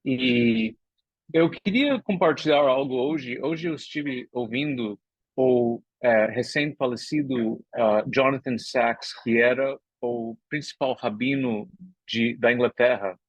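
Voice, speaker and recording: A man's voice: mid-range voice, takes it slowly, dry recording, lo-fi sound. A man speaking as a guest at a prayer meeting, thoughtful, with small restarts.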